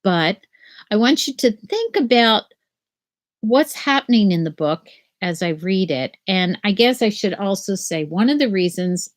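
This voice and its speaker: An older woman teaching children from home over a webcam, explaining a picture book and then reading it aloud in a gentle sing-song. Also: medium pitch, expressive, measured pace. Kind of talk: children's read-aloud lesson. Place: small room at home. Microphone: laptop webcam video call.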